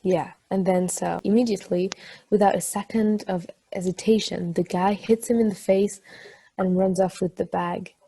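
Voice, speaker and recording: Actress doing a chatty girlfriend type in a play reading, mellow kinda raspy voice, rambly storytelling. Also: medium pitch, steady tone, normal speed. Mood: amused storytelling. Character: chatty woman telling a funny anecdote to her partner. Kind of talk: acted casual conversation in a table read.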